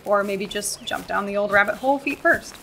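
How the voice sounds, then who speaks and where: A woman's voice: medium pitch, a little fast, gravelly, bright, forceful tone, a little hiss or hum. A young woman with an American accent in a radio play, acting a sarcastic, exasperated hiker. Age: young adult. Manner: sarcastic, incredulous.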